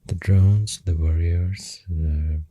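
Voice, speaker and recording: A soft-spoken ASMR creator with a Swedish accent, rambling in a breathy, husky near-whisper with hesitant pauses, close to the microphone. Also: low voice, fairly even intonation, slight background noise. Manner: slow, hesitant, pausing between phrases.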